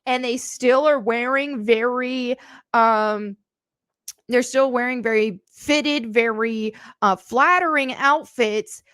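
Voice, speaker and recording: A woman with a somewhat high voice shares her opinion off the cuff. She pauses, repeats words and carefully qualifies what she means. The recording is close and free of echo. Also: a bit bright, clear, resonant tone. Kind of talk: unscripted opinion commentary.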